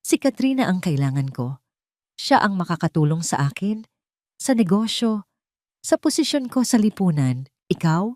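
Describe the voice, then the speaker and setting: A woman's voice: on the low side, big pitch swings, moderate pace, long pauses, a little mellow, no room sound. A rich, contemptuous husband in an audio melodrama played by a woman narrator. He is cold and cutting, heard in a dry recording. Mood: sneering. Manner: cutting, sneering.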